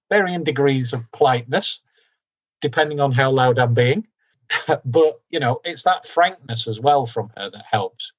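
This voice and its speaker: A man from the UK talking candidly as a podcast guest, loud and direct, often mocking himself. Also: mid-range voice, dull audio. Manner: loud, direct, self-deprecating. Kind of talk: candid conversational interview.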